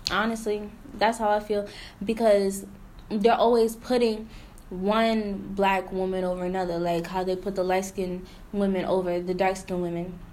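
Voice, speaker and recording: A woman's voice: medium pitch, little pitch movement, moderate pace, a little mellow. A woman talking bluntly and candidly in a casual chat, with slang and frequent murmured agreement.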